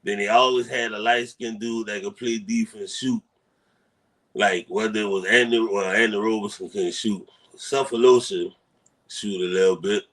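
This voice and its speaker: A man's voice: on the low side, steady tone, normal speed, fairly bright tone, low-quality recording. Blunt male co-host, mid-range voice, laughs a lot, curses freely. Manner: blunt, swears casually, laughs often. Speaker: podcast co-host. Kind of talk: casual sports debate chat.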